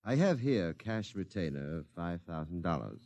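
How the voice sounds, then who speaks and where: An actor playing a cool, formal male Martian client in a radio drama, with precise, measured diction. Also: deep voice, sing-song, a little mellow, no echo. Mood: formal, composed. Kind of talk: 1950s radio drama acting.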